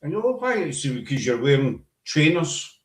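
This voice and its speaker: A man's voice: on the high side, very animated, moderate pace. A man with a Glaswegian Scottish accent, hosting a casual chat and telling rambling anecdotes. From Scotland. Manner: chatty, rambling anecdotes with many fillers. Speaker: podcast host. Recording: echoey room.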